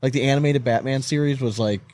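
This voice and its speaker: An American man in his thirties hosts a loose, bantering interview show. He speaks casually at a middling pitch, joking in a crude way and often repeating a phrase for emphasis. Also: fast, darkish tone. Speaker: podcast host.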